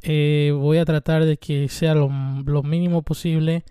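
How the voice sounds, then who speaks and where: A young Mexican man in his twenties rambles through a solo show, dropping swear words and fillers as he goes. His voice sits a bit high but sounds mellow and smooth. The recording is dry and close, with no room around him. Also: little pitch movement, a little slow, dry recording. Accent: Mexican Spanish. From Mexico (Veracruz). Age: 20s. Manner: rambling, irreverent, swears freely, many fillers. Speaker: podcast host. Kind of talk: casual solo monologue.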